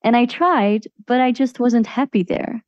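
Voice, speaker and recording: A woman's voice: on the high side, a little slow, darkish tone, no room sound. Belgian woman on a dull, dry line. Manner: chatty, with fillers and restarts. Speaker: podcast guest, virtual assistant. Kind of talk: casual interview answers.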